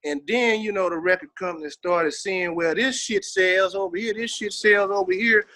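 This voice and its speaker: Bright-voiced, emphatic Southern American man. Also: high voice, fairly even intonation, moderate pace, dry recording. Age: middle-aged. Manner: emphatic, streetwise and slangy, with frequent swearing. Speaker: interview guest, veteran rapper. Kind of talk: casual interview reminiscing.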